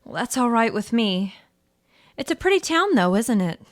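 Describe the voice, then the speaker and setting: A jittery, frightened wife, acted by a woman in a radio horror play. Her voice is fairly high and swings widely in pitch. She sounds anxious and unconvinced by any comfort. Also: sing-song, moderate pace. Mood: uneasy. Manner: anxious, doubting. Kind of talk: radio horror drama acting.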